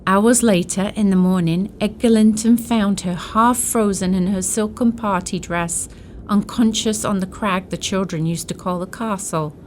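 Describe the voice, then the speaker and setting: A woman's voice: mid-range voice, a little slow, slight background noise, natural voice. A woman playing a concerned, motherly old household servant who tells her story in a radio drama. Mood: somber, narrating.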